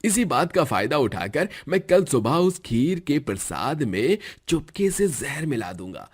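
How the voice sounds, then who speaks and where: A man acting a gloating, husky villain. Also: mid-range voice, big pitch swings, unhurried. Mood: scheming. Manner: gloating, scheming, cackling. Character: greedy cruel villain, a scheming man plotting mass poisoning. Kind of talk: Hindi cartoon moral story acting.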